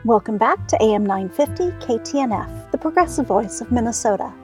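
A woman's voice: on the high side, expressive, brisk. A woman hosting a radio show, reading scripted announcements. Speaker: radio host. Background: faint music.

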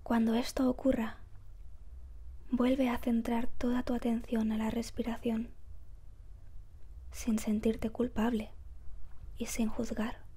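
A woman guiding a meditation in a soft, breathy, whispery voice, calm and gentle, with measured phrases and long pauses. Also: on the high side. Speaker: meditation guide. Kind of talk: slow guided meditation narration.

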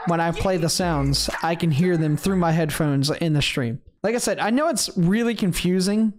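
Chill guy talking through his stream audio setup, slightly high voice, lots of uhs and ums. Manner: relaxed, rambling explanation with fillers. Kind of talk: casual screen-recorded tutorial.

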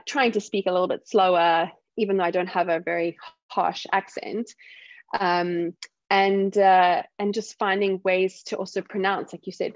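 A woman from South Africa with a softened South African accent, a guest telling stories in a casual interview and acting out what other people say. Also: medium pitch, no echo, highs cut off. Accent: South African, softened by years in the UK. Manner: animated storytelling, acts out other people's remarks. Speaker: guest speaker.

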